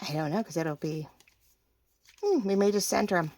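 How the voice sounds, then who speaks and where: A woman hosting a casual crafting tutorial, chatty and thinking aloud as she works, slightly hoarse and often clearing her throat. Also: medium pitch, moderate pace, a little mellow, no echo. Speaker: craft video host.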